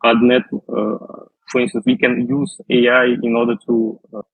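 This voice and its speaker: A man, answering interview questions slowly and carefully, with many fillers and restarts. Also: medium pitch, flat delivery, breathy edge, no echo. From Russia. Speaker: guest, data scientist. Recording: tinny phone-like line.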